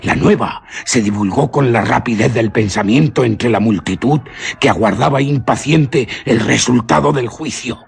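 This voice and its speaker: A man narrating a suspense radio story in a solemn, deliberate manner. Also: medium pitch, gravelly, breathy edge.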